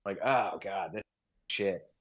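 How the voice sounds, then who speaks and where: A man's voice: sits low, takes it slowly. Blunt man on dull lo-fi audio. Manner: blunt, sweary, slangy. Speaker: podcast co-host. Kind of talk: casual chat, opinionated commentary.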